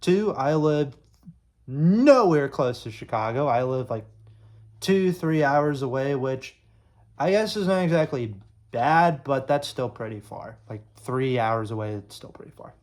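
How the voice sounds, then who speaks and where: A man's voice: mid-range voice, lively intonation, unhurried, darkish tone. A young man from Illinois chatting casually to camera, rambling with lots of fillers and restarts. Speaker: YouTube sports creator.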